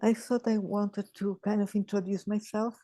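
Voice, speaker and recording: Lady in her eighties, warm low-key tone, restarts a lot, sounds close and dry on a call. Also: level tone, no echo. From Chile. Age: 80s. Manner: halting, thoughtful, many restarts. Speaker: participant sharing in a group. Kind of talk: casual sharing on a video call.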